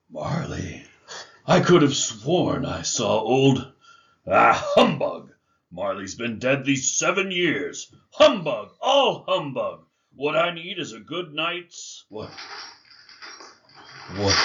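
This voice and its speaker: A man's voice: medium pitch, fairly bright tone, highs cut off, exaggerated voice. A man acting a crotchety, skeptical old miser in a theatrical radio drama, blustering, muttering and stammering when frightened. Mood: uneasy grumbling.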